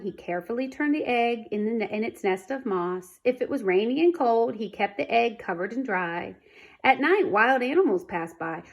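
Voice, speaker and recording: A woman reading a children's storybook aloud in an expressive, dramatic manner. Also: medium pitch. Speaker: storybook reader.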